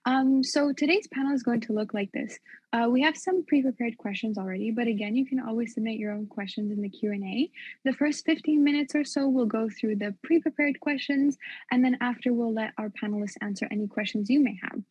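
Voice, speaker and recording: A woman hosts an online panel and moves through a prepared run of questions in order. Her voice is slightly high and husky. It comes through dry and a little dull over a video call. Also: brisk, darkish tone, no echo. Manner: scripted, orderly. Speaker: panel moderator.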